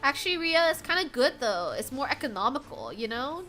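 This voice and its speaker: A woman's voice: high-pitched, fairly quick. An American woman streamer, young, chatting casually with her chat while gaming, laughing often. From United States. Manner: giggly, animated, frequent bursts of laughter. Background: faint game music.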